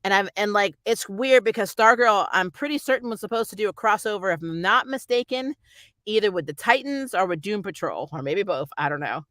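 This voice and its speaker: Vlogger, bright forceful voice, very dry sound, casual rambling review delivery with an exasperated edge. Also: medium pitch, lively intonation, brisk, dry recording. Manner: rambling, conversational, slangy, mildly exasperated. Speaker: YouTube TV series reviewer. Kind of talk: casual opinionated review chat.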